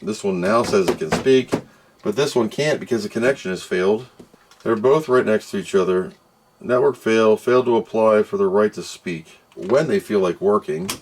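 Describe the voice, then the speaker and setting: A man with a fairly low voice hosts a casual product test. He talks off the cuff, thinking aloud and grumbling as he works things out. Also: normal speed, slight background noise. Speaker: host of a product test video. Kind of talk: casual unscripted product review.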